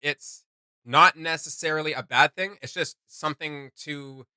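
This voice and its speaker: A man's voice: on the high side, steady tone, unhurried, bright, forceful tone, clean tone, no room sound, studio quality. A man hosting a reaction video, giving casual commentary with emphatic delivery.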